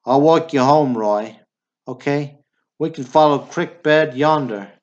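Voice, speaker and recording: An actor playing a steady, placating Texan brother in a radio drama, speaking in a terse, understated way. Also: male voice, a little high, measured pace, lightly acted. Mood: consoling. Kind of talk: amateur radio drama acting. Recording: dull, lo-fi audio.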